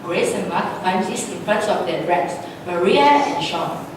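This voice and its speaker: A woman's voice: fairly low pitch, animated, a little slow. A young woman narrating a scripted story on stage in a readers' theatre performance. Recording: echoey hall, distant mic, faint ambient noise.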